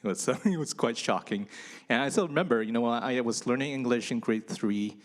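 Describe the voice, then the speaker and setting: A man's voice: medium pitch, normal speed. A man originally from Indonesia, whose first language was Indonesian, giving a chatty, self-deprecating conference talk with many fillers. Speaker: conference speaker, physician researcher. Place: conference hall.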